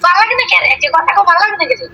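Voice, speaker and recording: A woman's voice: extremely high pitch, rapid-fire, vocal fry, noisy background. A woman chatting casually on a recorded phone call.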